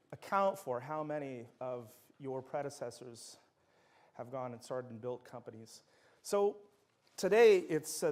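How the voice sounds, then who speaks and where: A man's voice: medium pitch, a little mellow. A middle-aged man, a native Dutch speaker, giving an enthusiastic university lecture full of anecdotes. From Netherlands.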